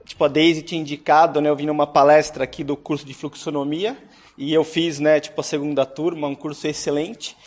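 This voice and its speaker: A man's voice: on the high side, little pitch movement, fairly quick. A man introducing himself to a group at a meeting, chatty and friendly, tagging his sentences with "né". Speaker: English teacher.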